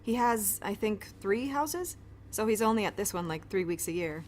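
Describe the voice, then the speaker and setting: Chatty, flustered, good-hearted doctor, played by an actress. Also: female voice, mid-range voice, animated, moderate pace, lightly acted. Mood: explaining eagerly. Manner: chatty, awkward, self-interrupting. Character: friendly, flustered, apologetic woman pediatrician who shelters fugitives. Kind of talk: naturalistic audio drama dialogue.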